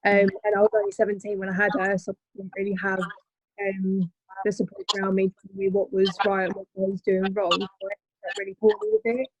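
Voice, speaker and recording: A woman in her early twenties speaking on a video call as a guest, halting with frequent ums. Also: medium pitch, level tone, breathy edge, dry recording, rough recording. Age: 20s. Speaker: guest mother. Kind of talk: casual video call chat.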